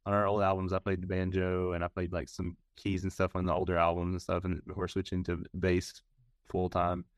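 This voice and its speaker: Deep, smooth-voiced bassist, man. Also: monotone, fairly quick, dry recording, clean studio sound. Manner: easygoing, lots of "you know" and "like". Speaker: band bassist, guest. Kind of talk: casual interview.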